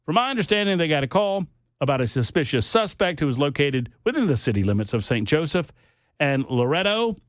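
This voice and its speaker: A man's voice: on the high side, very animated, a little fast, dry recording, clean studio sound. A man hosting a talk radio show, reading silly news stories and making mocking asides.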